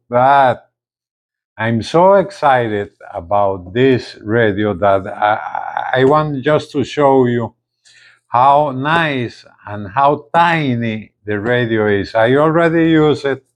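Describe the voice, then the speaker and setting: A man presenting a casual unboxing video, speaking haltingly and often repeating his words. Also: mid-range voice. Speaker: gadget reviewer, video host.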